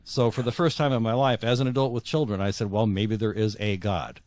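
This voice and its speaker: A middle-aged man with a low voice, recorded dry and close. He talks as an interview guest reflecting on his own life, halting and repeating words for emphasis. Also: steady tone, darkish tone, no room sound. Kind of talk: reflective personal storytelling in an interview.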